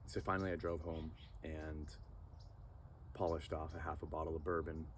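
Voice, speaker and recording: A man in his thirties telling a personal story in an earnest, animated way, acting out moments with sound effects. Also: very deep voice, normal speed, slight background noise.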